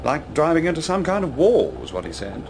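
An actor with a British accent playing a courteous, matter-of-fact army lieutenant in a radio drama. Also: male voice, on the high side, big pitch swings, a little fast. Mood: grave, factual. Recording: noisy outdoor ambience with vehicles.

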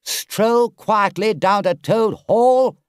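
A man's voice: medium pitch, wildly swooping pitch, normal speed, a little mellow, no room sound, studio quality, voice pushed up. A man voicing a sensible, loyal water rat from a storybook, with a firm, emphatic, scolding manner. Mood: shocked, urgent.

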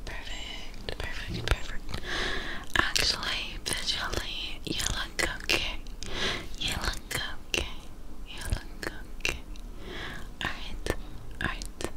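A young woman playing a female optometrist in an ASMR roleplay, whispering breathily and repeating her instructions, close to the microphone. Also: close to an everyday voice. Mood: calm, instructing. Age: young adult. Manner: slow, repetitive, instructing. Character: soft-spoken female optometrist examining a patient, faintly ominous. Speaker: ASMR creator playing an eye doctor. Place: small dim room, close up. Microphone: close studio ASMR microphones.

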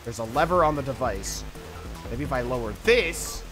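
A man's voice: medium pitch, animated, moderate pace, over music. A man streaming a puzzle adventure game, casually commenting, reading text aloud and muttering to himself.

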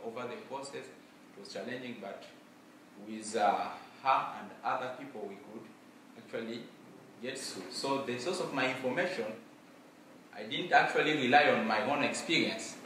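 A young man from Congo, a native Mashi speaker, giving an earnest student presentation in a library room with many filler pauses. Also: medium pitch, fairly bright tone, slight background noise. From Democratic Republic of Congo. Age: young adult. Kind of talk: formal presentation to an audience. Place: library room with bookshelves, indoors. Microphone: far camera. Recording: echoey room, from far off.

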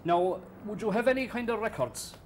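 A man's voice: high-pitched, animated, a little fast, slight background noise. A man playing a crude, patronizing small-town boss, rambling and folksy. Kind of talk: comedy sketch acting.

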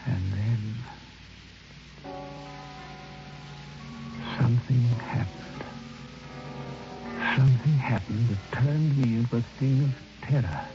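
An actor playing a reflective, haunted man who narrates his own tale in a measured, literary, solemn radio drama style. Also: medium pitch, creaky, over music. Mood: haunted, grave. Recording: noisy, dull old radio recording.

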